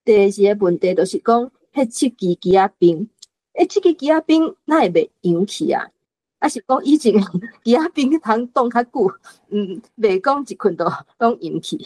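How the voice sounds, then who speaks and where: A woman's voice: medium pitch, lively intonation, moderate pace, a little mellow, no echo, poor audio. A woman with a Taiwanese accent discussing a book in an orderly, explanatory way as a panelist putting questions. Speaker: reading-club panelist posing questions.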